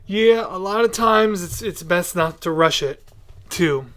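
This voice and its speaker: A man's voice: high voice, unhurried, fairly bright tone. A man hosting a podcast, interviewing in a casual, chatty way.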